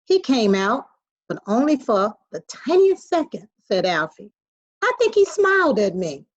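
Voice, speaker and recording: A woman's voice: a little high, unhurried, no echo. A woman teacher reading a children's picture book expressively, on a dry, dull recording. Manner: expressive storytelling.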